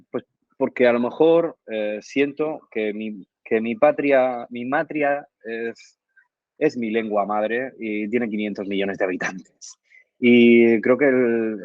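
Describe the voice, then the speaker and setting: Man talking it through slowly, lots of eh and no?, muffled dry sound. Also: on the low side, no echo. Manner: thoughtful, frequent "eh" and tag questions. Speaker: guest, reading promoter. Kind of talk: reflective radio interview answers.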